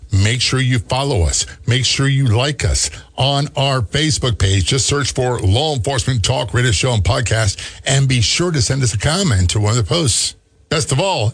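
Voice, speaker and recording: A man's voice: medium pitch, no echo. Man, promo announcer, chatty, persuasive, dry close sound. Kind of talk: promotional spot.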